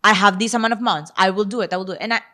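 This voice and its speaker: A woman's voice: mid-range voice, racing, pushed and bright. A woman in her thirties hosting a podcast, speaking in an encouraging coaching way in casual chat. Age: 30s. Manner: encouraging, coaching tone, plain-spoken. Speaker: podcast host and self-worth coach.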